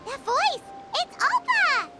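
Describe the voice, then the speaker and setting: Game fairy companion: tiny excitable girl, squeaky and very high, wildly animated delivery. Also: slow pace, exaggerated voice. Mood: excited. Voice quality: squeaky, childlike. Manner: exclamatory, inquisitive. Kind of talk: video game voice acting. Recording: music playing behind the voice.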